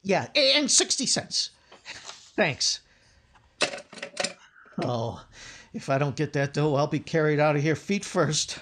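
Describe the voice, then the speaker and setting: A brash young working-class fellow from a radio comedy, acted by a man. He blusters in folksy slang, his pitch leaping up and down with great liveliness. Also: lively intonation, takes it slowly, pauses often, a bit bright, slight background noise, exaggerated voice. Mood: anxious. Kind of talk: old-time radio comedy acting.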